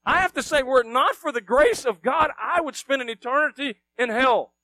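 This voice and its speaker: A middle-aged preacher with a strikingly high voice, swinging from hushed asides to loud, emphatic bursts. He keeps throwing rhetorical questions at the congregation. The sound is close and dry, with no room around it. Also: normal speed, dry recording. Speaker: pastor. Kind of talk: sermon.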